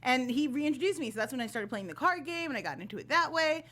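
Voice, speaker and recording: High-voiced, breezy woman chatting. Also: expressive, fast talker, sharp tone. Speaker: guest panelist on a film review show. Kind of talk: casual, chatty panel discussion.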